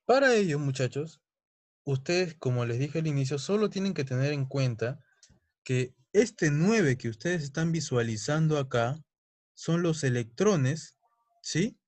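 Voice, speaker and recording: A man's voice: a little high, animated, measured pace, darkish tone, no room sound. A young man teaching a chemistry lesson, explaining step by step and often checking in with his students. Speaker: chemistry tutor. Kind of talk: recorded online lesson.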